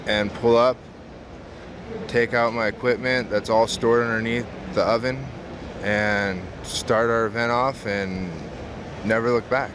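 Pizza business owner, 30s, male, low-ish voice; relaxed, filler-heavy answers; close camera in a noisy expo hall. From San Diego, California. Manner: relaxed, frequent "um" fillers, earnest. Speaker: mobile pizza business owner, interview guest. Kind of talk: casual interview answers. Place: large indoor trade show hall. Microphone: camera close to face.

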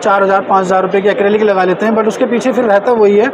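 High-voiced man interviewing at an expo. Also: fairly even intonation, fast, noisy background. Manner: chatty, polite, sums up points with "sir". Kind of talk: casual trade-show interview. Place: busy indoor exhibition hall. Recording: crowd chatter behind.

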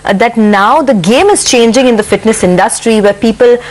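Brisk news anchor woman. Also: a little high. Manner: brisk, conversational questioning. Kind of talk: TV business news interview.

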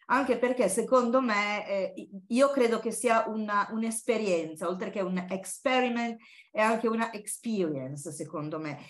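Older woman introducing a lecture, thinking aloud. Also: medium pitch. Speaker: academic host introducing a guest speaker. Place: university classroom.

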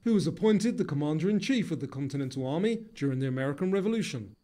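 A man reading scripted quiz narration in a measured way, as the narrator posing questions. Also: a little high, animated, warm tone.

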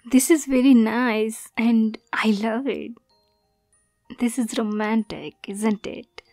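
Slow, high-voiced mermaid girlfriend, acted by a woman. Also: fairly even intonation, darkish tone, no echo, clean studio sound, soft background music. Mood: tender, intimate. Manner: coy, giggly, often dropping into whispers. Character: sweet, naive, possessive yandere mermaid girlfriend. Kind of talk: roleplay ASMR, intimate girlfriend address.

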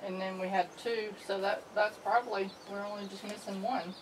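A middle-aged woman, a homestead vlogger, chatting casually and briskly as she counts her poultry in a coop. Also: mid-range voice, steady tone. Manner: brisk, matter-of-fact counting. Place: metal-walled chicken coop. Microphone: camera on a tripod. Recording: distant camera mic, noisy coop. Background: clucking chickens and roosters.

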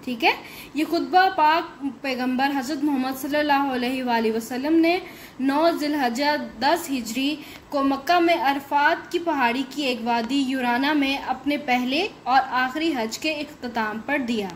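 High-voiced Pakistani woman teaching slowly. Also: a little hiss or hum. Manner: reads English text slowly, then explains in Urdu; addresses students directly, often saying "okay". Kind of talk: recorded classroom lesson, reading and explaining.

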